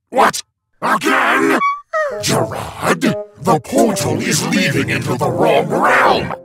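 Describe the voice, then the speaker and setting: Cartoon villain: grandiose demon overlord, gravelly and raised in pitch, extremely animated delivery. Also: male voice, takes it slowly, loud music behind the voice, heavily acted. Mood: exasperated, angry. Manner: grandiose, theatrical proclamations. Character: pompous, raging male demon overlord villain. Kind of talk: animated comic dub.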